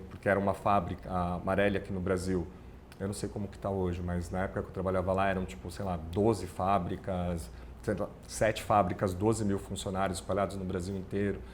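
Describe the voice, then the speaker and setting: A man's voice: low-pitched, slight background noise. A middle-aged man with a São Paulo accent, a podcast guest chatting casually and rambling with lots of self-corrections. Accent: São Paulo (ABC paulista) Brazilian Portuguese. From São Paulo ABC region, Brazil. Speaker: podcast guest, communications manager. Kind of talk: casual interview chat.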